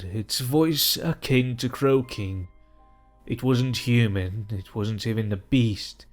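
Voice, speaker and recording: A man reading a dark fantasy story aloud as narrator, slow and measured. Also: lowish voice.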